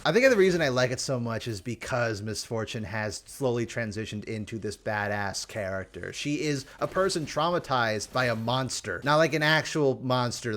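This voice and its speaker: A man delivers casual game commentary in a mid-pitched voice. He is opinionated and informal, slipping animated asides into his reviewing. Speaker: video game champion reviewer.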